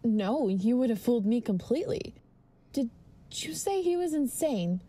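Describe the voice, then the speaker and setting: A cautious doctor played by a woman with a fairly high voice. She sounds earnest and questioning, a serious skeptic in an old-time radio drama. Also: sing-song, moderate pace, warm tone. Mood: wary.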